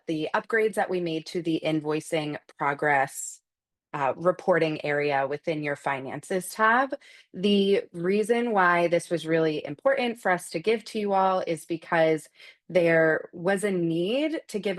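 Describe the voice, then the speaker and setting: A woman hosting a live webinar as a product trainer, explaining a software feature step by step in a conversational way and addressing attendees directly. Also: fairly low pitch. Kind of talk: live screen-share walkthrough, instructional.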